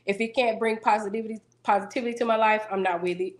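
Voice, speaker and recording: A woman singer interviewed as a guest, talking casually in a self-assured, businesslike way with a deeper voice. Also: fairly even intonation, quick pace. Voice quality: deeper singing voice.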